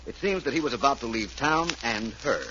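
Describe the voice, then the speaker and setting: An actor playing a shrewd, urbane private detective in an old-time radio drama, speaking in a polished, precise, explanatory way. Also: male voice, mid-range voice, lively intonation, brisk, lightly acted. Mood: confident, explaining. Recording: muffled, hissy vintage radio broadcast recording.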